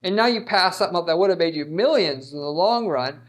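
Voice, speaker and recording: A man with a somewhat high-pitched voice gives an off-the-cuff business explainer. He is blunt and emphatic, with a salesy push to his phrasing. His delivery halts now and then as he repeats words and restarts sentences. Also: animated, fast talker. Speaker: marketing agency owner giving advice. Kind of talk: unscripted marketing explainer.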